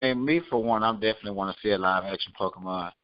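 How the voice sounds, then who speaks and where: A man's voice: lowish voice, very fast, no room sound, highs cut off. A man hosting a podcast, talking casually with his co-hosts and listeners. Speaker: podcast host. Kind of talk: casual podcast chat and sign-off.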